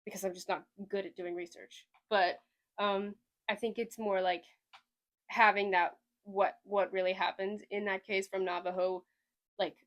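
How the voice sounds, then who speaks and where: A woman's voice: mid-range voice, little pitch movement, unhurried. Chatty young woman with slight Southern twang. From Sweden. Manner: rambling, chatty, hedges a lot. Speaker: podcast host. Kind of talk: casual chat.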